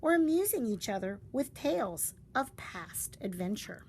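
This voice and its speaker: A woman reading a story aloud in a deliberate, dramatic storytelling style. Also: a little high, very animated, a little mellow. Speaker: storybook reader. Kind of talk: expressive read-aloud of a story. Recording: faint background noise.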